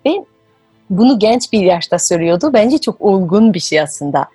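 A woman hosting an interview, telling personal stories in a conversational, expressive way. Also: medium pitch, moderate pace, breathy edge, no room sound, faint music behind. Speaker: podcast host. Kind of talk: conversational storytelling.

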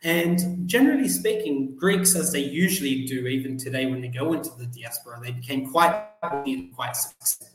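A man's voice: medium pitch, lively intonation, roomy sound, soft background music, poor audio. A young Australian man, a guest on a podcast, explaining at length with frequent ums and uhs. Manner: measured explainer with fillers like um and uh. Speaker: guest, journalist and PhD student. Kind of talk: explanatory interview answers.

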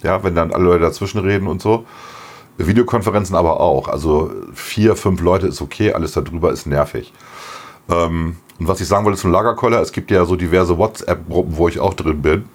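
Slightly hoarse deep-voiced man chatting. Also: a little fast. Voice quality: chatty, laughs often. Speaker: podcast co-host. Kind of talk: casual chat.

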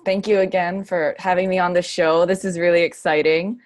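A young woman from Hawaii, a graduate student, talking in a friendly, relaxed way as a guest over a video call. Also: on the low side, brisk, dry recording, clean studio sound, soft background music.

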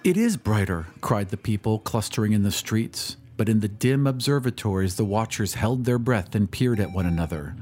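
Low-pitched male story narrator. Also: moderate pace, hardly any pauses, darkish tone. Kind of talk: dramatic scripted story narration. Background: music.

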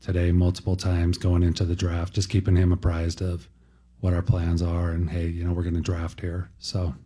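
A man speaking as a team executive at a press conference, answering in careful, halting, measured phrases. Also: low voice, monotone, warm tone, breathy edge.

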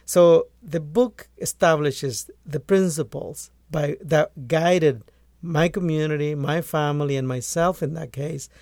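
Gravelly man from Guatemala. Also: on the high side, fairly even intonation, unhurried, few pauses, a little mellow, dry recording, clean studio sound. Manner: earnest, restarts phrases often. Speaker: poultry farmer and author. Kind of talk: conversational interview answers.

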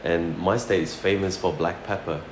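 A man's voice: sits low, steady tone, normal speed. A man from Malaysia, a chef, telling anecdotes casually and informally in an interview, repeating phrases as he goes. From Malaysia (Sarawak), later New Zealand. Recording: roomy echo, loud background noise.

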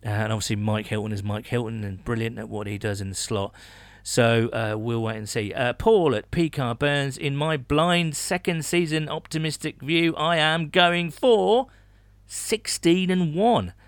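A man's voice: medium pitch, measured pace, no echo. A British man hosting a sports podcast, chatting casually with rambling asides and reading out listener messages. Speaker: podcast host.

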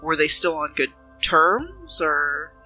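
A businesslike female detective, played by a woman at a gaming table, with a bright, forward voice. She probes methodically and keeps a measured manner, over soft background music. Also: deep voice, big pitch swings, exaggerated voice. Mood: probing, calm.

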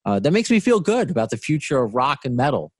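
A man's voice: medium pitch, big pitch swings, quick pace, breathy edge, dry recording, clean studio sound. A man in his late thirties hosting a podcast interview, chatty, laughing often and restarting sentences. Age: 30s. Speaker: podcast host. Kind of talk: casual interview.

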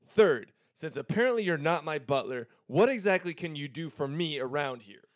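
A man's voice: fairly high pitch, lively intonation, moderate pace, dry recording, clean studio sound, natural voice. A man playing a pampered, cocky, crude young rich student in a comedy cartoon, with a brash, bossy, slangy way of talking. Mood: bossy, dismissive.

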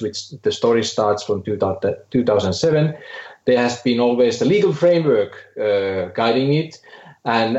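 A lowish-voiced man with a Finnish accent talks haltingly as a podcast guest, stopping and restarting with plenty of fillers. His voice comes through a muffled line in an echoey room. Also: a little mellow. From Finland. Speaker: think tank director, guest. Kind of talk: podcast interview.

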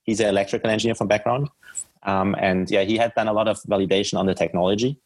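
Low, husky man with German accent. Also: fairly even intonation, fast talker. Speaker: podcast guest, startup founder. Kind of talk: conversational interview answers.

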